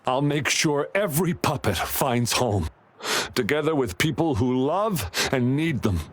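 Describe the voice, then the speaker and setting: A man's voice: mid-range voice, animated, unhurried, dry recording. A frightened loving father. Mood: tender. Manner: tender, anxious and pleading. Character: devoted protective father, middle-aged man. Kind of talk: video game voice acting.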